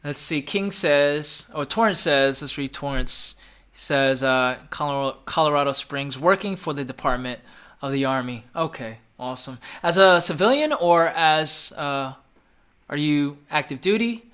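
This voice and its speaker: A man's voice: on the high side, a little slow, a bit bright, clean tone. A man in his thirties hosting a casual live Q&A, chatty and upbeat, reading viewer comments and often repeating himself. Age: 30s. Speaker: livestream host answering viewer questions.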